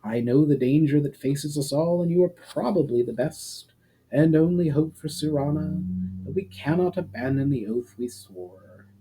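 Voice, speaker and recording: A man voicing a proud, calm female elf leader in a fantasy storybook, with stately, measured and formal speech. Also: fairly high pitch, a little hiss or hum, voice pushed up, lightly acted, rough recording. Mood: patient.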